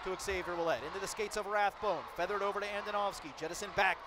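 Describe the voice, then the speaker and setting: A man calling a hockey game play by play, rattling off passes quickly and shouting out the goals. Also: high voice, fairly even intonation, noisy background. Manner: rapid calls that build to shouted goal calls. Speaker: play-by-play announcer.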